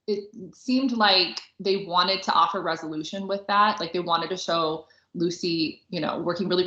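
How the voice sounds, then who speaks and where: Woman, casual podcast co-host, bright tone, informal chatty delivery, echoey and slightly dull recording. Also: lowish voice. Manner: chatty, informal, heavy use of "like". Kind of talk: casual book and film discussion. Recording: roomy echo, slightly dull sound.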